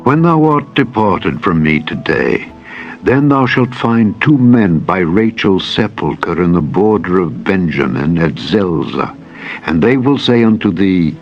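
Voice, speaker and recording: Solemn prophet for dramatized scripture voiced by a male reader, low, measured and formal, faint music underneath. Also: animated. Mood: solemn, instructing. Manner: measured, archaic formal speech. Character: solemn male prophet instructing a newly anointed leader. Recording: dull radio-like sound, music behind.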